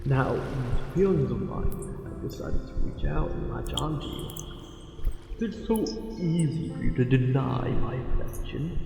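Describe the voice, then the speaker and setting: A man's voice: mid-range voice, sing-song, unhurried, creaky. An actor playing a smitten angler merman in an ASMR roleplay, speaking formally and hesitantly with ornate, tender courtesy. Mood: smitten, pleading. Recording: cavernous echo with long reverb, music behind. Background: music.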